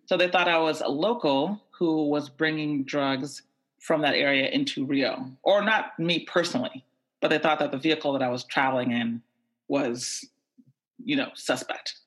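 A woman's voice: low-pitched, normal speed. A young woman telling a personal anecdote, animatedly voicing the people in it. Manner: lively, animated quoting of others. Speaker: storyteller. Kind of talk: personal anecdote told to an audience.

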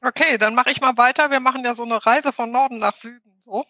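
Woman, fifties, Ruhr German accent, bright voice, reflective panel talk, dull lo-fi recording. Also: fairly high pitch, fairly even intonation, dry recording. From Ruhr area, Germany. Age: 50s. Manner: reflective, loosely phrased, with thinking pauses. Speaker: Scrum trainer and panelist. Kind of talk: panel self-introduction.

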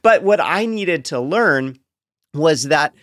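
A man speaking as a podcast guest, explaining casually and in a measured way through personal examples. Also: fairly high pitch, lively intonation, a bit bright, no echo, studio quality. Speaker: podcast guest, therapist's partner.

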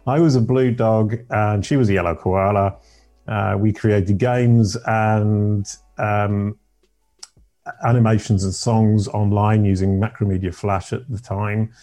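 A middle-aged man from Yorkshire with a deep voice, telling his life story as a podcast guest in a rambling, self-correcting way. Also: fairly even intonation, measured pace, dark tone. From Yorkshire, England. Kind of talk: casual interview storytelling.

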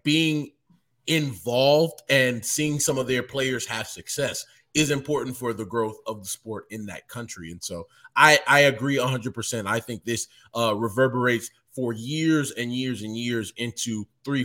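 Regular-pitched guy chatting baseball, gets fired up, keeps restarting sentences. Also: a little slow. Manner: animated, rambling with restarts. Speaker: baseball writer, guest. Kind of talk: casual interview talk.

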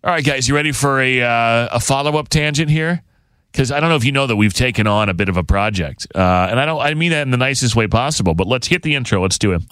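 A man's voice: medium pitch, expressive, fast talker, a bit bright, smooth, no echo. A man hosting a radio show's podcast in casual, unscripted chat, rambling and often interrupting himself. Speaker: radio show host.

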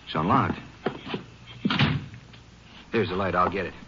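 A folksy, earnest Texas Ranger in a vintage radio drama. His voice is fairly low. The recording is muffled and noisy. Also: unhurried. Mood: concerned. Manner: folksy, plain-spoken. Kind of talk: 1950s radio drama acting.

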